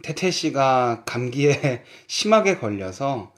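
A man from Seoul co-hosts a relaxed Korean-learning podcast. His pitch is very lively, swinging up and down as he jokes. He teases his co-host and laughs often. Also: slow. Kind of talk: casual language-podcast chat.

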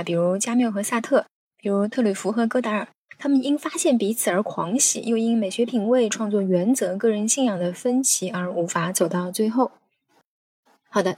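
A woman's voice: medium pitch, darkish tone, breathy edge, dry recording. A woman hosting a podcast, presenting from prepared remarks. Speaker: podcast host.

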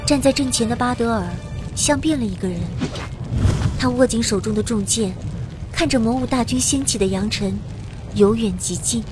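Woman as a high-voiced young storytelling heroine. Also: normal speed, over music, lightly acted. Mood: dramatic. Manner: expressive storytelling. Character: young heroine narrating her own fantasy adventure. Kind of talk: scripted dramatic radio narration. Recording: noisy battle effects behind.

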